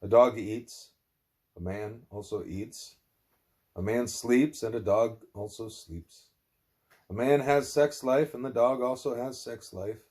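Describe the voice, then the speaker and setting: A man narrating a spiritual text aloud in deliberate, emphatic phrases. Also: mid-range voice, a little mellow. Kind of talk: scripted narration, broken by asides to a small child.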